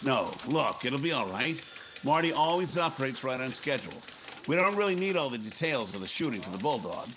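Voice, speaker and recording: A man's voice: medium pitch, big pitch swings, a little fast, noisy background, lightly acted. A man playing a cynical, hard-boiled newspaper editor in a 1950s-style radio drama, clipped and matter-of-fact. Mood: coolly scheming. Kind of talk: 1950s radio drama acting and first-person narration.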